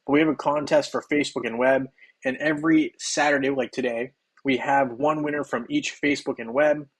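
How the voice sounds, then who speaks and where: A young man, slightly hoarse, chatting casually on a game livestream and cheerfully greeting viewers by name. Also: mid-range voice, steady tone, a little fast, poor audio.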